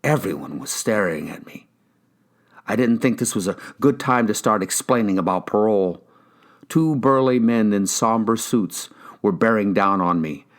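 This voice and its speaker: Low-voiced American man narrating crime fiction. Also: lively intonation, normal speed. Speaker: audiobook reader, actor. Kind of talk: scripted first-person crime fiction narration.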